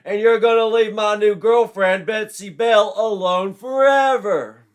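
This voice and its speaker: A man's voice: high-pitched, steady tone, measured pace, smooth, voice pushed up. A man voicing a brash, boastful young wrestler and suitor in a storybook tale with bombastic declarations. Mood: boastful fury.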